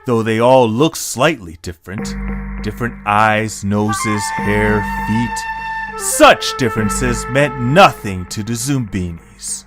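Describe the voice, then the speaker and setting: A man's voice: lowish voice, slow pace, music in the background. A man reading scripted narration for a fantasy storybook tale.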